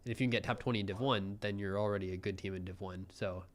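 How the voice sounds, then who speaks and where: A young man giving relaxed, musing live commentary on a programming contest, often trailing off mid-thought. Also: fairly low pitch, racing, a little hiss or hum.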